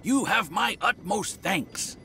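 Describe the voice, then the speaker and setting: A man voicing an effusive, over-polite and wily gentleman landlord in an anime video game. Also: high-pitched, animated, slow, vocal fry, slight background noise, exaggerated voice. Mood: grateful, jovial. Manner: flowery, over-polite, chuckling.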